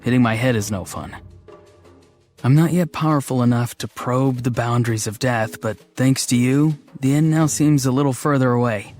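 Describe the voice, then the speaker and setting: An actor voicing a gentle, odd, introverted young man in a video game, speaking in an earnest, hesitant, matter-of-fact way. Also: mid-range voice, moderate pace, faint music behind, close to an everyday voice. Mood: earnest, calm. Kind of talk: scripted game character lines.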